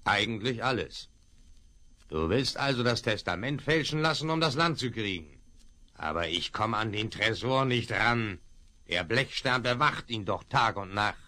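Casting: a cocky, jeering outlaw sidekick, sharp bright tone, animated pitch, radio drama. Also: male voice, a little slow. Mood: scheming. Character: sly male ex-cowboy accomplice, cocky and amused. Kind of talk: 1970s radio play acting.

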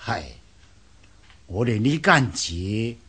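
An actor playing a courteous old Buddhist abbot in a radio drama, with a husky voice, measured dignity and a Hong Kong Cantonese accent. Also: male voice, mid-range voice, very animated, bright, forceful tone, heavily acted. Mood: calm, courteous. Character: courteous old Buddhist monk, head of a temple.

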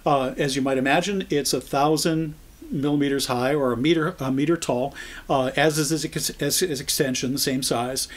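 An American man in his sixties giving an informal product explainer, speaking conversationally and haltingly as he thinks it through. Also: medium pitch, fairly even intonation. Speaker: product trainer.

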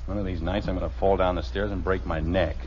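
A man's voice: sits low, steady tone, fast, music in the background, lightly acted. An actor playing a wry, put-upon middle-aged American father in a 1950s radio comedy, with a sarcastic, grumbling way of talking. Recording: muffled, noisy vintage radio broadcast.

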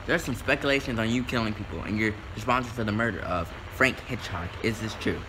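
A man's voice: lowish voice, fast talker, close to an everyday voice. Male radio interviewer on a noisy recording. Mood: probing. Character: polite male 1920s radio show interviewer. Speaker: co-host. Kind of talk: amateur scripted radio interview. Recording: clear noise behind the voice.

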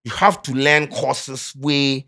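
Ghanaian man, thirties, podcast host, lively, pidgin-laced English, studio mic. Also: medium pitch, slow, vocal fry. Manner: animated, gestures a lot, mixes pidgin into English. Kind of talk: casual chat. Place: small studio with padded walls. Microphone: studio mic on boom arm.

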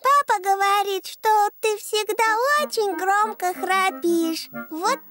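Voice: extremely high pitch, takes it slowly, music in the background. A performer voicing an eager, childlike little pig girl in a children's cartoon dub.

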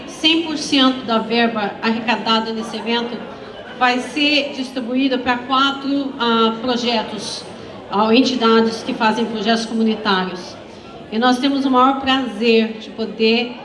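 A woman's voice: a little high, far from the mic. A woman in her forties emceeing a charity event on stage into a handheld mic, hesitant with many fillers and restarts. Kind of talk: event speech. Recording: echoey hall with crowd noise.

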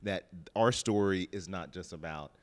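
A middle-aged man from Haiti talks with animated energy into a handheld mic, his voice sitting a little low. He speaks casually as an interview guest, often calling out to listeners directly. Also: moderate pace. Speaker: bakery owner, guest. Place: lounge studio with sofa. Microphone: handheld microphone.

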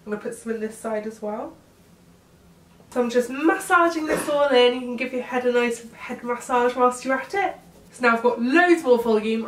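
A young woman in her twenties with a cold, chatting upbeat through a hair tutorial in her bedroom. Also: a little high. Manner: chatty, upbeat, often trails off while styling hair. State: congested from a cold. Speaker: beauty vlogger demonstrating a hairstyle. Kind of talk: casual how-to tutorial. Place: bedroom, indoors. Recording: roomy, slightly distant sound.

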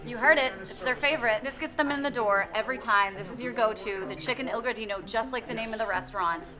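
Higher-voiced enthusiastic woman hosting. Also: fast talker. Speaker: food show host interviewing. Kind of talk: lively on-camera chat and interview. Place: restaurant dining room and kitchen. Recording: loud music behind the voice.

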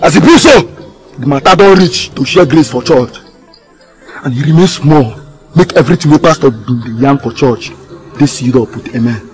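An actor playing a defiant, aggrieved gangster in a film drama, with a Nigerian accent and an insistent, confrontational manner. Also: male voice, medium pitch, animated, normal speed, faint music behind, close to an everyday voice, low-quality recording. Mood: vengeful, taunting. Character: defiant, aggrieved male gangster demanding his share.

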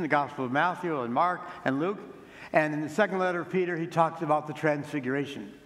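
An older man, a priest, preaching a homily in a plain, conversational way, slowing down to repeat key points. He stands by the altar of a large church sanctuary. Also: on the high side. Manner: plain, conversational preaching, with slow emphatic repetitions. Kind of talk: sermon. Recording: long church reverb.

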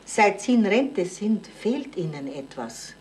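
A woman's voice: medium pitch, very animated, takes it slowly, a little mellow. An actress playing a bossy housekeeping woman in a radio drama, coaxing and persuasive. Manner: persuasive, managing. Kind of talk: German radio comedy-drama acting. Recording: slightly noisy 1970s radio recording.

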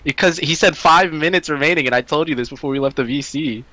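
Bright-voiced young man gaming with friends. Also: fairly high pitch, fast, rough recording. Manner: laughs often, swears casually. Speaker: gamer on voice chat. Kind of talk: casual gaming chat.

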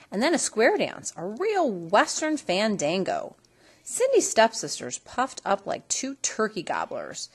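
A woman reading a children's story aloud in an expressive storytelling style. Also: on the low side, slightly rough. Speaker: storybook reader.

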